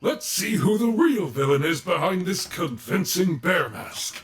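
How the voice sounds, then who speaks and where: A man acts out an earnest animatronic showman bear, slightly off-mic over faint noise. He is theatrical and wordy, with a highish, very lively pitch in audio roleplay style. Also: a little slow, recorded from a distance, heavily acted. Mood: upbeat. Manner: grandiose, formal, wordy.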